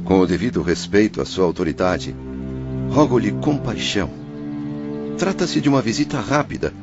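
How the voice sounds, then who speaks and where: A man acting an anguished, pleading spirit, lowish voice. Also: lively intonation, moderate pace. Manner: deferential, emotional, formal pleading. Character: anguished, pleading father, a suicide's spirit confined in hospital. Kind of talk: audiobook drama acting. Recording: dull lo-fi audio under prominent music.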